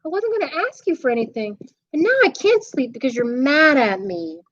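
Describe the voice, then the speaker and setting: A woman puts on a needy little boy who keeps begging for one more thing before sleep. His voice is high and swoops all over the place. It sounds dull, like a lo-fi recording. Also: big pitch swings, fairly quick, a bit bright, dry recording, full character voice. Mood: whiny. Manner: pleading, needy. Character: whiny little boy stalling at bedtime.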